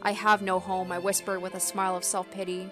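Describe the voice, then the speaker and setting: Woman narrating a story over soft music. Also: mid-range voice, flat delivery, brisk. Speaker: gaming video host. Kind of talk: dramatic first-person story narration, read aloud.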